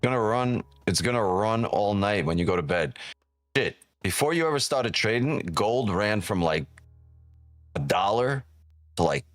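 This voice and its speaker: A man's voice: sits low, fairly bright tone, no echo. A man born in Ukraine hosts a live trading stream, chatting casually and with profanity as he reads chat aloud, often tagging on "right?".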